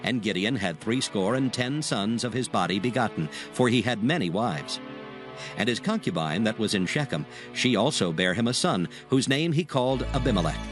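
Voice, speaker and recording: Animated male scripture narrator over music. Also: lowish voice, moderate pace.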